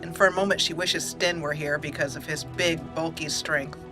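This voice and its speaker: A woman's voice: deep voice, steady tone, moderate pace, music in the background. A woman giving casual, chatty roleplay commentary on a game, slipping into emotive, story-like narration. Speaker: let's play host and gamer.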